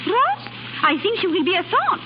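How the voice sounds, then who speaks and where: A woman's voice: very high-pitched, sing-song, normal speed. A woman playing a temperamental young French woman with a French accent and plaintive broken English in a 1930s radio drama. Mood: wry, uneasy. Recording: noisy, muffled 1930s radio recording.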